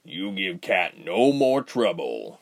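Male reader as big gruff storybook man, rustic speech, low rumbling voice, menacing. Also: wildly swooping pitch, slow, heavily acted.

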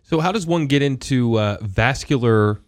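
A man's voice: mid-range voice, lively intonation, normal speed. An American man hosting a casual radio interview, asking informal, rambling questions. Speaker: radio host.

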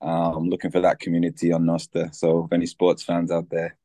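A man's voice: low-pitched, completely flat delivery, moderate pace, dark tone, dry recording. A man, a guest in a casual interview who talks easily with many fillers and restarts. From Tanzania.

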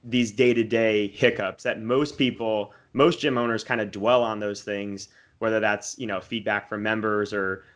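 A man's voice: lowish voice, fairly even intonation, normal speed, hardly any pauses, a bit bright. A man interviewing a gym owner, reflecting back what he has heard in a casual conversation.

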